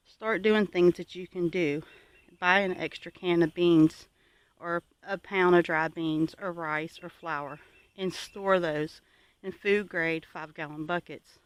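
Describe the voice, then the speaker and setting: A homesteading channel host gives viewers advice in an earnest, exhorting and deliberate way. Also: mid-range voice, clean tone.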